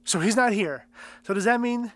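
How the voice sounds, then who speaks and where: A man's voice: sits high, moderate pace, a bit bright. A man playing an anxious, snarky kid in an audio drama, exasperated and informal. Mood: wary, resigned. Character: anxious, snarky kid searching for missing father.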